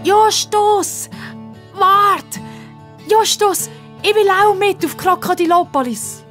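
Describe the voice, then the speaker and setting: A woman voicing an eager, excitable young girl in a children's audio story. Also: very high-pitched, slow pace, soft background music, pitched above the performer's own voice, full character voice. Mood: urgent, calling. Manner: excited, calling out.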